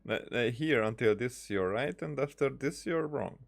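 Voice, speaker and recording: A man giving casual, halting gameplay commentary, muttering asides and reading dialogue options aloud. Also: mid-range voice, animated, creaky. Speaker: gaming streamer.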